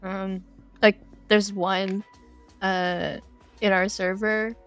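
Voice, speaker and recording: A woman's voice: mid-range voice, level tone, drawn-out words, a bit bright, clean tone, faint music behind. A woman talking casually and playfully as a game developer guest in an interview.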